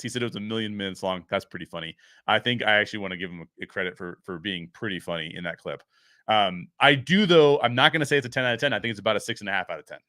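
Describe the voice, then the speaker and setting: A man's voice: fairly low pitch, pushed and bright, dry recording, clean studio sound. A man hosting a review show, giving casual, opinionated commentary in an explanatory way, stressing key phrases. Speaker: host of a pop-culture review show.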